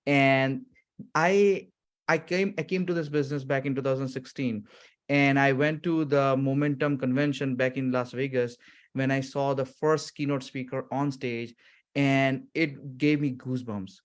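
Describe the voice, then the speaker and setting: A man's voice: medium pitch, fairly even intonation, a little slow. A man hosts a webinar over a video call, enthusiastically introducing the next speaker. He often repeats his words as he goes. His voice sits mid-range for a man. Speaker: webinar host.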